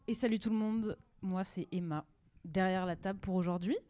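A woman's voice: mid-range voice, normal speed. A young woman hosting a community radio show from a shared center room, chatting casually and steering the conversation. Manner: relaxed, chatty, leads the talk. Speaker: radio host.

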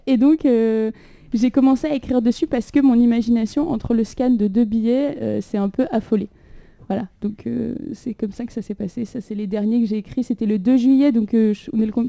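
A woman's voice: on the high side, vocal fry, warm tone, no room sound. A young woman in casual chat, laughing often and full of fillers, recorded on a phone propped up in a small room. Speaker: poet, host of the reading. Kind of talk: casual chat and poetry reading. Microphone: phone propped on a water carafe.

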